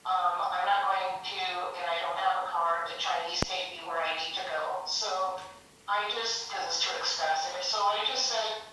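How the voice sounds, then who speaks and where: A middle-aged American woman chatting casually, with exclamatory, expressive reactions. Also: lowish voice, moderate pace, very echoey, far from the mic, faint music behind, poor audio. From United States. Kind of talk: casual chat.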